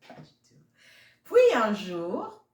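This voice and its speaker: A woman's voice: medium pitch, huge pitch swings, slow. A woman reading a picture book aloud expressively for young children, addressing her listeners as friends. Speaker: children's storybook reader. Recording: roomy, echoey sound.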